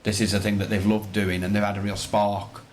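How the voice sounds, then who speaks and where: A man's voice: on the low side, little pitch movement, brisk, a little hiss or hum. A man speaking as a teacher in an interview, enthusiastic and rambling, often repeating his phrases. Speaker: primary school teacher, interview guest. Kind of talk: conversational interview answers.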